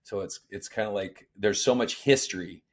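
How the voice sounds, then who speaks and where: A middle-aged man co-hosting a casual podcast, talking in an easygoing, relaxed way. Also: on the low side, normal speed. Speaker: podcast co-host. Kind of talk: casual chat. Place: home studio. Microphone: studio mic on boom arm.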